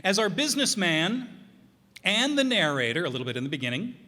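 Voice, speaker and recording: Guy announcing the cast live on stage, high animated voice, echoey noisy room. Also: a little fast. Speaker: master of ceremonies introducing the cast. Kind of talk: live curtain-call announcement. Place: live performance hall. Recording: echoey hall with noticeable noise.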